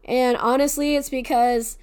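A woman's voice: high-pitched, fairly even intonation, a little slow, a little mellow, clear, resonant tone. A young American woman casually retelling a myth, sarcastic and swearing, laughing at the story. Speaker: podcast storyteller.

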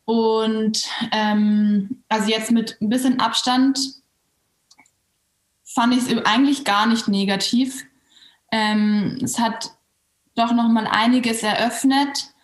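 A woman's voice: fairly high pitch, steady tone, takes it slowly. Hesitant woman in a roomy space. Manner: hesitant, restarts and fillers. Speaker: yoga teacher and co-founder. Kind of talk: casual interview talk. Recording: roomy echo.

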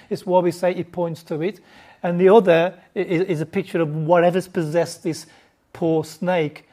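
A woman's voice: mid-range voice, a little mellow. A woman giving a conversational Bible lesson to a group, often restarting her sentences and asking the listeners questions. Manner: informal, stumbling restarts, asks the audience questions. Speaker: Bible teacher.

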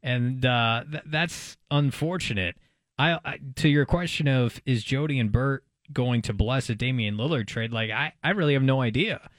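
Male sports talk co-host, medium pitch, conversational, reasons aloud with some hesitation, dry studio recording. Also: normal speed, no room sound.

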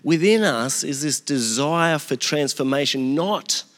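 A man's voice: fairly high pitch, expressive, unhurried, fairly bright tone. A man in his forties giving a sermon as pastor, opening with prayer and telling self-deprecating stories in a conversational way. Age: 40s. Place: church hall. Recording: long hall reverb.

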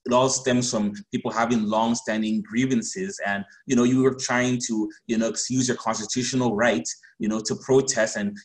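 A man from Cameroon, a researcher on a discussion panel, speaking in a measured way with frequent "you know" fillers. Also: fairly low pitch, low-quality recording. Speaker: researcher on democratic transitions, panelist.

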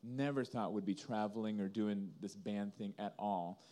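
Guy from indonesia, band musician doing a casual interview, mellow voice, earnest storyteller. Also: on the low side, steady tone, normal speed.

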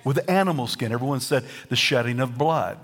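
A man with a Southern US accent preaching a sermon as pastor, lively and at times rising to near-shouts and singing. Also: medium pitch, a little fast, husky.

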